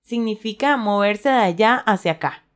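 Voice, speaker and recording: A woman's voice: medium pitch, lively intonation, moderate pace, clean tone. A woman co-hosting a language lesson, presenting words and example sentences.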